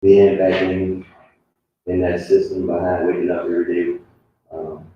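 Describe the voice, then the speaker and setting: A man on a live panel giving reflective personal testimony in a halting, plainspoken way. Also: low-pitched, monotone. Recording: dull, very echoey, distant mic, faint noise.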